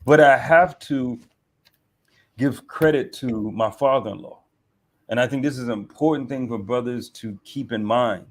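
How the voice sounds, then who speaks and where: A man's voice: mid-range voice, normal speed. A married man hosting a casual discussion, giving earnest advice to other men. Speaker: host, coach.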